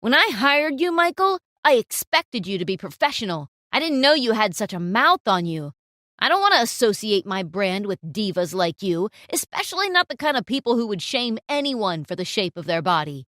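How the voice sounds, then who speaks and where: A woman's voice: medium pitch, big pitch swings, moderate pace, a bit bright, dry recording, studio quality. A woman voicing a composed, stern female client who scolds a photographer.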